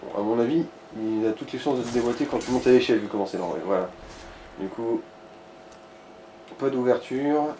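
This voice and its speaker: A man's voice: mid-range voice, brisk, long pauses, warm tone, soft background music, low-quality recording. A man giving casual, slangy gaming advice in speech, explaining tactics with crude language. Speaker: let's play co-commentator advising the player.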